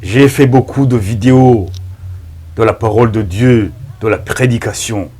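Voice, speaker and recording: A middle-aged Rwandan-born pastor whose first language is Kinyarwanda, praying and preaching slowly and solemnly, with frequent amens. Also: male voice, medium pitch, husky. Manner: slow, solemn, with frequent amens and hushed thanks. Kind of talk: prayer and sermon introduction.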